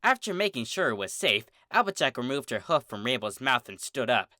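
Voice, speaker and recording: A narrator reading a fan fiction story aloud in an expressive, scripted storytelling style. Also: medium pitch, fairly quick, no room sound, studio quality.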